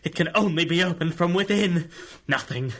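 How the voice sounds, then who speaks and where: An actor playing a paranoid, gloating old millionaire miser in a 1930s-style radio drama, strained and halting. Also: male voice, fairly high pitch, fairly bright tone. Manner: raving, halting, possessive.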